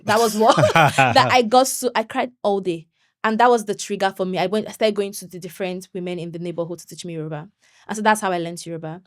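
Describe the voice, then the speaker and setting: A young woman, a guest on an interview show, chatting in an animated way and laughing often. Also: medium pitch, dry recording, studio quality. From Nigeria. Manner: animated, laughs often, quick bursts when excited. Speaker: podcast guest. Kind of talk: conversational interview.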